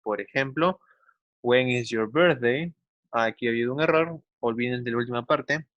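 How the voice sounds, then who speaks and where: A man's voice: medium pitch, no room sound, highs cut off. Didactic man teaching English. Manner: didactic, slowly enunciates English examples, often seeks agreement with tag questions. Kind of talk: instructional online class for children.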